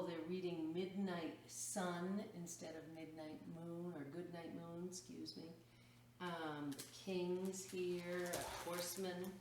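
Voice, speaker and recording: A woman with a low voice hosts a children's story time. She reads at a slow, expressive pace and breaks off for casual explanations. The recording is very echoey, as if the microphone sits some way from her. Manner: expressive storytelling, drawn-out words, explanatory asides. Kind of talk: reading a picture book aloud to children, with chatty asides. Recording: echoey room, distant mic, faint noise.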